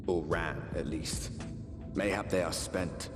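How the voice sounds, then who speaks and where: A deep-voiced, solemn sage narrating a fantasy game, measured and poetic. Long reverb trails the words over clear music. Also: male voice, fairly even intonation.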